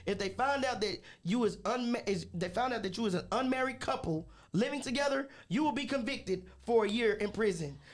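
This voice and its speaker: A man co-hosting a podcast in casual chat, animated and laughing often as he talks. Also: very high voice, a little fast, hardly any pauses. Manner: animated, laughing, swearing freely. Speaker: podcast co-host.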